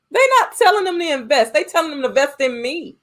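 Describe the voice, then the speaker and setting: Emphatic American woman with higher voice. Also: a bit bright. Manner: emphatic, opinionated, repeats phrases to drive points home. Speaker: podcast co-host. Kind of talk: casual movie discussion.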